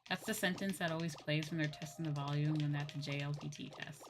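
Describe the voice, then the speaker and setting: Low-pitched woman chatting on a livestream. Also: steady tone, fast talker, noisy background. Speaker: livestreamer and VTuber host. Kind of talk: casual livestream chat.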